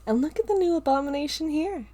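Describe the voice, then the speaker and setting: A woman voicing a cold, power-hungry female villain who speaks in a sneering, flat tone. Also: high voice, quick pace, pitched above the performer's own voice.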